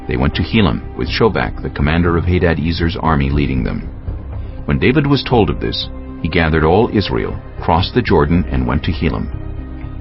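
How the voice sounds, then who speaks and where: A man narrates scripture in an extremely deep voice. His pitch moves expressively through the scripted reading, with a fry-laden, gravelly edge. Faint music plays behind him. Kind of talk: scripted dramatized Bible narration. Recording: slightly dull audio.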